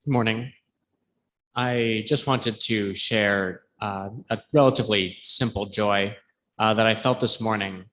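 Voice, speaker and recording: A man with a fairly low voice shares something personal at a church service. He talks haltingly and reflectively, with faint noise in the background. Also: steady tone, a bit bright. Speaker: congregation member. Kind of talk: informal personal sharing at church.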